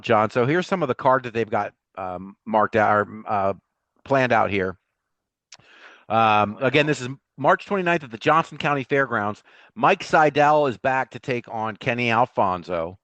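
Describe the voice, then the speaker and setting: A man's voice: mid-range voice, a bit bright, no echo. A man co-hosting a podcast in a casual, chatty style about sports. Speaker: podcast co-host.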